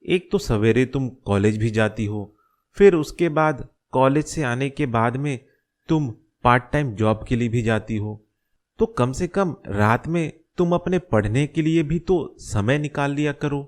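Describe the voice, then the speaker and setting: A man voicing a caring, concerned middle-aged father in a cartoon story, giving gentle advice. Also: medium pitch, animated, a little slow, lightly acted. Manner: gentle, advising. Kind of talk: Hindi cartoon story dialogue.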